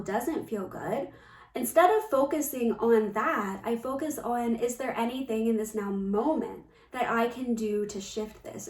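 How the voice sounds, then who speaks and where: A woman with a fairly high voice speaks very slowly, gently and earnestly, pausing within phrases as she shares spiritual guidance. The recording is roomy and echoey, with her some way from the mic. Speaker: spiritual coach. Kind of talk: reflective spiritual talk to camera. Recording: roomy echo, distant from the mic.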